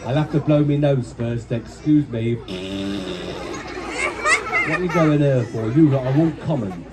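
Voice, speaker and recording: Bossy, cheeky puppet wife Judy, as a man puts her on. She talks in a drawn-out, saucy, scolding way. Loud children and heavy noise ring all around her. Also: mid-range voice, a loud crowd, voice pushed down, close to an everyday voice. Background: loud audience of children.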